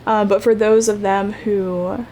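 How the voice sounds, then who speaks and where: A young woman hosts a solo podcast, talking in an easy, rambling way full of fillers and self-corrections. Her voice sits at an ordinary middle pitch. A faint background noise runs under the recording. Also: little pitch movement, measured pace, dark tone. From Ohio, United States. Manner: chatty, rambling, many fillers and restarts. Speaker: podcast host. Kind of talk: casual solo podcast monologue.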